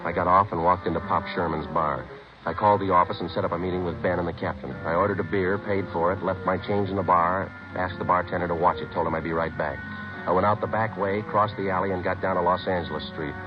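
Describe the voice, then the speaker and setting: A man playing a terse, clipped undercover narcotics detective in a vintage radio crime drama, deadpan and matter-of-fact. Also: deep voice, quick pace, natural voice. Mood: flat narration. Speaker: lead actor and narrator. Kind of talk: 1950s radio crime drama acting and narration. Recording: muffled vintage radio recording, music behind.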